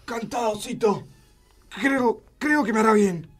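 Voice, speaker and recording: A man's voice: high-pitched, a little slow, breathy edge, faint music behind. An actor playing an irascible, greedy old puppet-maker with an Argentine accent in a comic radio play, a man's voice. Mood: despairing.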